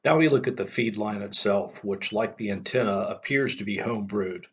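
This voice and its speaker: Guy with a lowish voice explaining technical stuff, matter of fact, measured, kinda muffled lo-fi audio. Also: breathy edge. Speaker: host of a radio hobbyist channel. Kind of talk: explanatory scripted narration.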